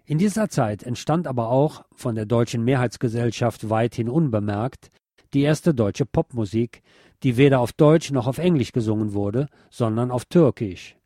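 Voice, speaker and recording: A man reading scripted documentary narration in a measured, essayistic way. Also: medium pitch, dark tone, no echo, clean studio sound.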